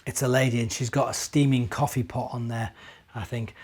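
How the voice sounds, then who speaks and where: A middle-aged man, a minister, talking and reading to young children in an expressive, simple storytelling way. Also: medium pitch, a little fast, husky. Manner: expressive, simple child-directed delivery with rhetorical questions. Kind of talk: children's storytelling and gentle chat.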